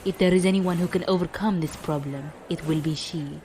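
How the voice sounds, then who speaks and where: A woman plays a stern, dignified admiral in a space audio drama. Her speech is formal and measured, every phrase fully spelled out with commanding poise. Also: lowish voice, steady tone, mellow, slight background noise, close to an everyday voice. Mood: calmly firm. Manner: formal, measured, avoids contractions. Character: stern, dignified, loyal female fleet admiral.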